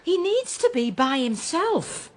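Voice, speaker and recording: An actress playing a sharp, domineering woman in a commune, scolding and emphatic, in a radio drama. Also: extremely high pitch, sing-song, slow, heavily acted. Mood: firm, impatient.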